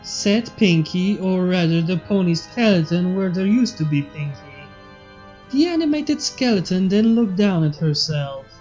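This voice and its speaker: A woman's voice: fairly low pitch, animated, measured pace. A young adult woman reading a story aloud as a narrator. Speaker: fanfiction reader and channel host. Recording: slightly dull audio, soft background music.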